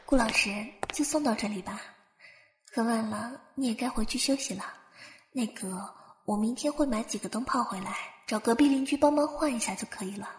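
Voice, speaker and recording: An actress playing a polite, reserved young woman with quiet pluck in a radio drama. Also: a little high, fairly quick, close to an everyday voice. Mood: polite, hesitant. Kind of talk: Chinese radio drama acting. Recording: roomy echo, music underneath.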